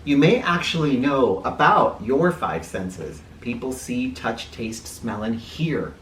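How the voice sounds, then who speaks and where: A man's voice: mid-range voice, animated, takes it slowly, fairly bright tone. A man reading a children's book aloud in a playful, explanatory way, stopping to put questions to young listeners. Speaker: children's book reader and teacher. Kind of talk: animated read-aloud with asides for children. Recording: roomy, distant mic, faint noise.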